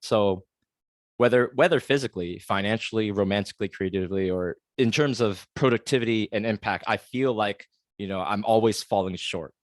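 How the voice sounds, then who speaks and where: A man's voice: on the low side, brisk, dry recording. A man in his thirties from the United States, hosting a solo podcast and talking earnestly and confessionally, with lots of fillers and restarts. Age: 30s. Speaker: podcast host. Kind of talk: solo reflective podcast monologue.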